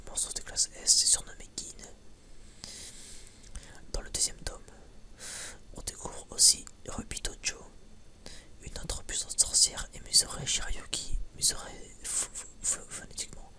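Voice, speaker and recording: A man's voice: unhurried, pushed and bright. A man whispering a casual, rambling ASMR chat, informal and sweary, hesitant and often stammering over repeated words. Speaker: ASMR video creator.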